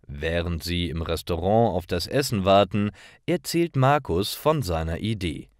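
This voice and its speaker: A man narrating scripted stories for language learners with clear, measured enunciation. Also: sits low, no room sound, clean studio sound.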